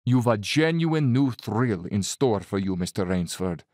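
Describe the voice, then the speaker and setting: An aristocratic Cossack general in an audio drama, a hunter with no conscience. He is smooth-mannered and condescending, never hurried. His pitch sweeps theatrically in a dry, close recording. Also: expressive, a little mellow, dry recording. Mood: amused, goading. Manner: urbane, bland, condescending, theatrically unhurried. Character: suave, cold-blooded aristocratic Cossack general, fanatical big-game hunter.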